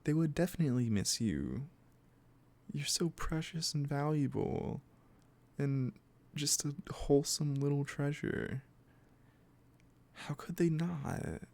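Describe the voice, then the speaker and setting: A man playing an obsessive, doting yandere kidnapper in an intimate roleplay audio, gushing and coaxing with a sweetly menacing edge and halting asides. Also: medium pitch, creaky, a little mellow. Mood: insistent, doting. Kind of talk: scripted roleplay audio, intimate one-sided dialogue.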